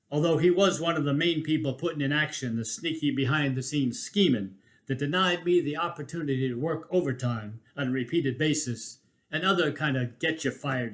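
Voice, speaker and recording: Higher-pitched man reading a personal essay. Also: fairly bright tone. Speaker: reader of a written personal chronicle.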